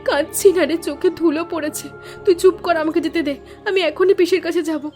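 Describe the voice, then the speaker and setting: A woman's voice: very high-pitched, steady tone, fast, darkish tone. A woman voicing a sensitive, apologetic young niece in a cartoon story, earnest, pleading and sentimental. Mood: upset. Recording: music under the voice.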